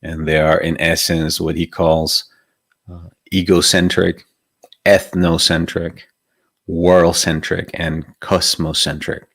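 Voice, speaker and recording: A man's voice: sits low, little pitch movement, slow, fairly bright tone, dry recording. A man from Venezuela, giving a reflective spiritual talk as a meditation teacher and often asking rhetorical questions. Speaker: meditation teacher hosting a live stream.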